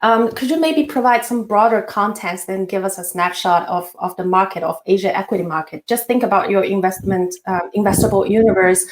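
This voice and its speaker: A woman's voice: mid-range voice, fairly quick, few pauses. A woman hosting a finance webinar, giving a polite, partly scripted introduction with some hesitations. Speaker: webinar host. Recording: roomy echo.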